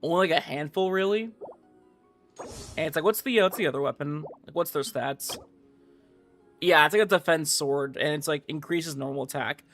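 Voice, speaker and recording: A man's voice: fairly high pitch, moderate pace, pauses often, fairly bright tone, faint music behind. A man livestreaming a game, chatting casually and giving commentary in a slangy, informal way full of filler words. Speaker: livestreamer playing a gacha game.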